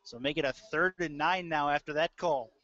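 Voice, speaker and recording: A man's voice: fairly high pitch, moderate pace, fairly bright tone, no echo. A man calling live American football play-by-play in a conversational way, as a sports announcer. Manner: conversational between plays. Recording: dull lo-fi audio stream.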